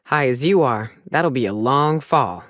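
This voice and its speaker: A woman's voice: a bass voice, animated, unhurried, fairly bright tone, no room sound, clean studio sound, heavily acted. A woman playing a brash, sardonic gunslinger from a space-colony crew in a video game, with a wry, drawling, folksy, sweary delivery. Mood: somber, subdued. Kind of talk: video game voice acting, short companion lines.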